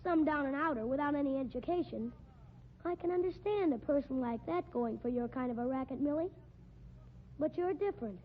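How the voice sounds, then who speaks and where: An actor playing a sneering, spiteful little circus midget with a small piping voice, pompous and taunting, in 1950s radio drama style. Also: male voice, extremely high pitch, brisk. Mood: sly, needling. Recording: muffled, noisy old radio recording.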